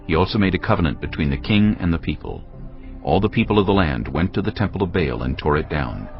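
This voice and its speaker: A man with a very deep voice narrates scripture in a solemn, measured way. His pitch moves expressively through the dramatic reading, over background music. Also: lively intonation. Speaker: Bible narrator. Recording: dull, lo-fi audio with music.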